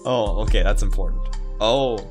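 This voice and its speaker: A man's voice: fairly low pitch, moderate pace. A man doing casual video game commentary, reading text aloud and rambling off into his own musing asides. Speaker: video game let's player. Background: game music.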